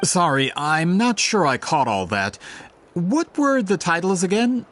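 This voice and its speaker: Keen, polite merchant, fairly high voice, lively delivery, game cutscene. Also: male voice, a little slow, heavily acted. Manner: courteous, eager, slightly awkward. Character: polite eager male merchant, brisk and persistent.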